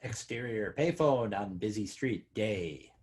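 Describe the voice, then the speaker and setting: A man's voice: on the low side, animated, unhurried, a little mellow, breathy edge. A man reading out short scene headings as scripted narration in a table read.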